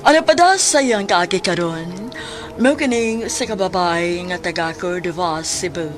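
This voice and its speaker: A woman's voice: fairly low pitch, expressive, measured pace. A woman reading a scripted radio drama introduction as the announcer. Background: music.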